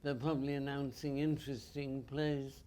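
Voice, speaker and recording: A man's voice: medium pitch, little pitch movement, moderate pace, darkish tone, a little hiss or hum. A man on a public panel, telling theatre memories in a dry, wry, understated way. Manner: dry, wry storyteller who understates the humor. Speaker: audience panelist. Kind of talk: reminiscing in a public panel chat.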